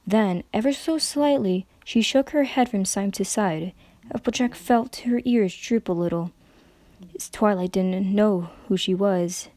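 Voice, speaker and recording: A woman's voice: mid-range voice, a little slow, a little mellow, dry recording. A woman narrator giving a dramatic reading of a story.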